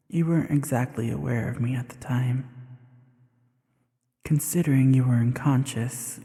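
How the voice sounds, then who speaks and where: A man playing a sly, teasing, self-assured sorcerer in an intimate roleplay audio drama, lingering smoothly on his words. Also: medium pitch, mellow, lightly acted. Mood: calm, explaining. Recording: long hall-like reverb on voice.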